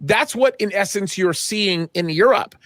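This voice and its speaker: Middle-aged man, pastor guest, slightly high voice, dry close sound, earnest, emphatic restarts. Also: expressive, measured pace, a bit bright, no echo. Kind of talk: conversational interview answers.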